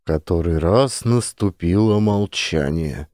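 A man narrating a satirical story as an audiobook, measured and expressive. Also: sits low, no echo, studio quality.

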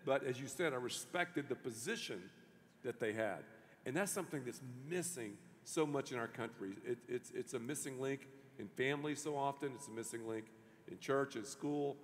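A middle-aged man, a pastor, interviewing a guest on a church stage in an animated way, with many restarts. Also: mid-range voice, moderate pace. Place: large church stage before an audience. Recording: long hall reverb.

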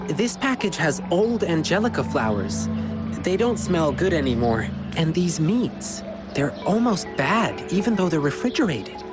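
A man playing an earnest, friendly young lion dancer in a video game, speaking in an expressive, reassuring way. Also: fairly high pitch, a little slow. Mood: earnest, attentive. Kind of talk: video game character dialogue. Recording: game music behind the voice. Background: game music.

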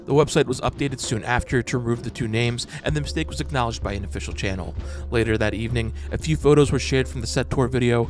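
A man hosting an explainer, reading scripted narration in a breakdown style. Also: lowish voice. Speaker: host of fan breakdown channel. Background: background music.

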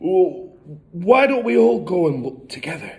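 A man's voice: a little high, very animated, takes it slowly, creaky, mellow, heavily acted. A man voicing a timid, reluctant father in a children's story, hesitant and nervous. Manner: hesitant, cautious.

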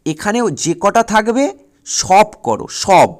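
A man's voice: high voice, sing-song, slow. A man coaching school students for exams in an emphatic, directive way, repeating his instructions and checking that they follow. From West Bengal, India. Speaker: school exam tutor.

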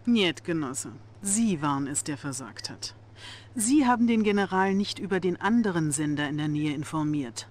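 An actress playing a young, cool and calculating Soviet intelligence officer in a video game cutscene, composed and assertive. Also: female voice, low voice, animated, moderate pace, a little mellow. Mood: firm, accusing. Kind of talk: video game cutscene acting, German dub.

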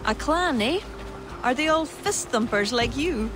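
A woman's voice: sits high, lively intonation, unhurried, music in the background. An actress playing a brash, tipsy Irish woman poet with a theatrical, wry way of talking.

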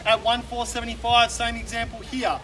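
A man in his thirties with an Australian accent, calling a live house auction outdoors in brisk, persuasive patter that keeps repeating the bids. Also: very high voice, flat delivery. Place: outdoors on a suburban street in front of a house. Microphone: camera filming from some distance, no mic seen. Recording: distant voice with loud street and traffic noise.

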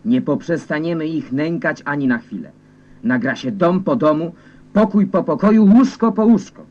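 An actor playing a zealous, menacing defence minister making emphatic official proclamations. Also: male voice, a little high, expressive, normal speed, lo-fi sound, slight background noise, close to an everyday voice.

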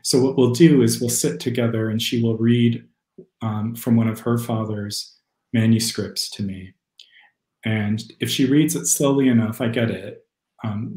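A young man speaks through a webcam from a room at home, his voice carrying a roomy echo. He talks in a thoughtful, hesitant way, pausing often and filling gaps with small sounds. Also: lowish voice, a little mellow. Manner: thoughtful, hesitant with many fillers. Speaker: poet and critic, guest. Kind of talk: video call conversation. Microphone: video call webcam.

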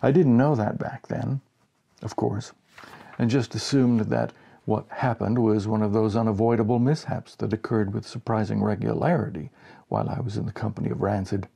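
Creaky-voiced American man in his sixties. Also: on the low side, steady tone, normal speed, warm tone. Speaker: storyteller reading a short story aloud. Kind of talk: expressive humorous story reading. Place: small home office, indoors.

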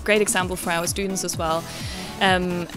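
A woman's voice: on the low side, little pitch movement, moderate pace. A woman in her thirties, an international business professor, speaking fluently into a handheld mic in an interview. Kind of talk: on-camera interview comments. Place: indoor room at a rooftop venue. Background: background music.

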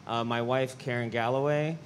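A man's voice: mid-range voice, steady tone, normal speed, slight background noise. A man from Los Angeles speaking sincerely and thoughtfully about his art on a panel, with a handheld mic in a gallery. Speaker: artist, panelist. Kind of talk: panel talk. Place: large gallery, indoors.

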